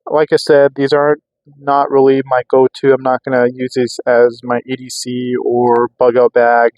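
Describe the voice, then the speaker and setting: A man's voice: medium pitch, monotone, moderate pace, a little mellow, dry recording, poor audio. An American man talking casually and off the cuff as a vlogger testing gear, rambling and thinking aloud. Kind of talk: casual unscripted vlog narration. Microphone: clip-on microphone.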